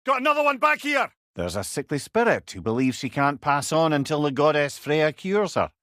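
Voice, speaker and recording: An actor with a Scottish accent voicing a wry, eloquent old talking-head companion in a video game. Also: male voice, on the high side, very animated, normal speed, fairly bright tone, dry recording, studio quality. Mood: urgent warning. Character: witty, talkative old male severed-head companion, wise and wry. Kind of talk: video game character acting.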